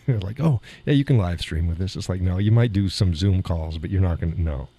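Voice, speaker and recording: A man hosting a live stream in casual, rambling chat, with a slight gravel in his voice and chuckles between remarks, recorded in a home studio through a mixer board. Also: sits low, a little fast, mellow. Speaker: live show host. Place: home studio in a weight room. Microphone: studio mic through mixer board.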